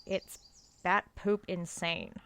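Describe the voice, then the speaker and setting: A woman's voice: on the low side, lively intonation, takes it slowly, fairly bright tone, heavily acted. A young woman playing an earnest, prim college girl in an audio drama, awkward and easily exasperated. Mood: reluctant, awkward. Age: young adult.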